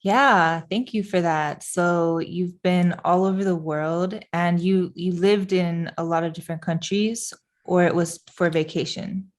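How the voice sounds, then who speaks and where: Young woman, podcast host, upbeat, enthusiastic, lowish pitch, living room, webcam. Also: fairly even intonation, a little slow. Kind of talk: podcast intro and interview.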